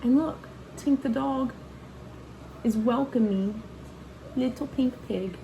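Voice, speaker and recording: A woman's voice: fairly high pitch, takes it slowly, creaky, dark tone, a little hiss or hum, rough recording. A woman reading a children's picture book aloud like a teacher, expressively and in a child-directed way, with asides to point things out and ask questions. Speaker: read-aloud storyteller and teacher.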